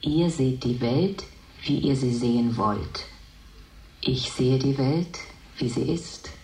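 A woman playing a calm, all-knowing computer in a radio drama, speaking in a measured, matter-of-fact way and repeating herself to correct phrases. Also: very deep voice. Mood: calm, detached. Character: calm, all-knowing female station computer named Edith. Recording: echoing, reverberant radio play voice.

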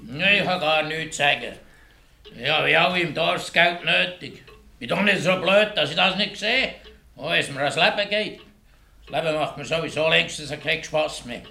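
Ein Schauspieler spielt in einem Hörspiel einen müden, schicksalsergebenen Dorfbewohner mit schlichter Redeweise. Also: male voice, fairly high pitch, normal speed, vocal fry, pushed and bright, reverberant, full character voice. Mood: resigned. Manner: plain, fatalistic. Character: weary, resigned male villager accepting his death. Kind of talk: radio play acting.

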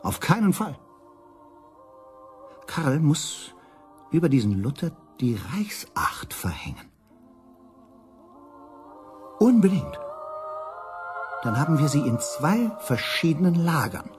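An actor playing a scheming, pragmatic pope in a German radio play, speaking in a deliberate, theatrical way. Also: male voice, on the high side, big pitch swings, darkish tone, soft background music. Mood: calculating. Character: scheming, pragmatic Pope plotting church politics.

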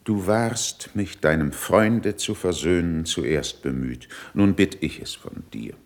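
An actor playing a proud older statesman in a classical radio verse drama, with measured, emphatic declamation. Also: low-pitched, expressive, exaggerated voice. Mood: composed, conciliatory. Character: proud, worldly older male statesman, critical yet controlled.

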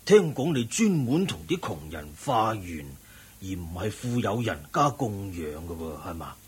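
Husky, lofty old nobleman. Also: on the low side, very animated, heavily acted. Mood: solemn, admonishing. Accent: Hong Kong Cantonese. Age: older. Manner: measured, preaching. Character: eminent wealthy old layman, lofty lecturing debater. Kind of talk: Cantonese Buddhist radio drama acting.

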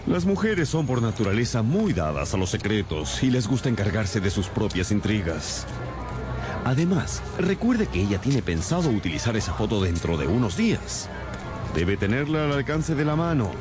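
A confident, commanding detective in a radio drama. His voice sits low and moves with lively inflection, precise and instructive. Music plays behind him. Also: moderate pace. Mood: self-assured, explaining.

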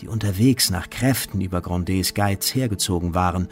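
Low-voiced male narrator. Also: normal speed, faint music behind. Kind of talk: literary narration in a radio play.

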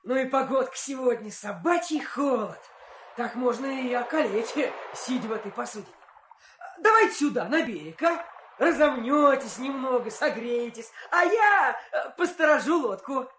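An actor playing a cunning, chatty young lazybones hero in an audio fairy tale, animated and coaxing. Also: male voice, extremely high pitch, normal speed, roomy sound, slight background noise. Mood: friendly coaxing. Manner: animated, exclaiming, stammering coaxing.

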